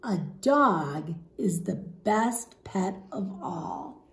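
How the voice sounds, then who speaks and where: A woman voicing an eager, crafty young boy from a storybook, with coaxing, clearly emphasized delivery. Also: mid-range voice, big pitch swings, drawn-out words, heavily acted. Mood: satisfied. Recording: roomy home echo.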